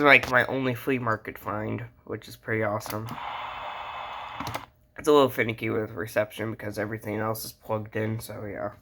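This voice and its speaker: A man's voice: mid-range voice, noisy background. Casual, rambling male hobby vlogger. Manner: rambling, informal. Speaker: host showing a radio collection. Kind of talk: casual hobby show-and-tell.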